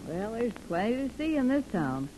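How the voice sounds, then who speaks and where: An actress playing a worldly, motherly young chorus girl with a brisk, breezy manner, in 1930s radio drama style. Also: a little high, very animated, background noise.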